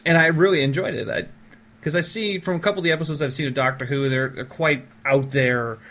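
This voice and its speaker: A man's voice: fairly high pitch, fairly even intonation, fairly quick, a bit bright. A man hosting a podcast in casual, conversational chat. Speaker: podcast host. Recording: noticeable background noise.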